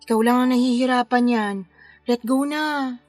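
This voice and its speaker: An actress playing a caring wedding planner and concerned confidante, speaking earnestly in a Filipino radio drama. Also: female voice, on the high side, fairly even intonation, moderate pace, a little mellow, no echo, faint music behind, close to an everyday voice. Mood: earnest advising. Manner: soothing, earnest, advising.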